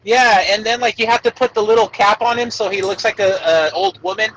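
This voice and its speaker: A man with a shrill voice, swearing freely in crude casual banter, calling in on a Bluetooth headset from his truck. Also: steady tone, a bit bright. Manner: crude, swears constantly, quick laughs. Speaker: podcast co-host calling in. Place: truck cab while working. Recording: tinny headset line from a truck. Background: truck noise.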